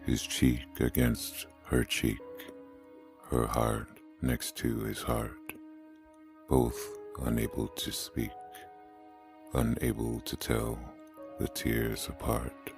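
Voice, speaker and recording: An actor playing a solemn male reciter, reading love poetry in a measured way for an audio drama. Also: extremely low pitch, level tone, creaky, over music, full character voice. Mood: solemn, mournful.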